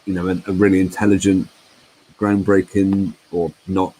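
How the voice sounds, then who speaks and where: A man's voice: low voice, flat delivery, moderate pace, dark tone, low-quality recording. A man hosting a call-in chat, musing aloud and hesitant with many fillers. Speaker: host.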